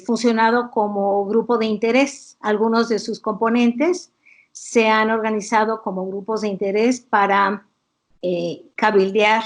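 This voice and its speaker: A woman giving an explanatory interview as an academic expert, in a didactic, deliberate way with hesitant pauses. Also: on the high side.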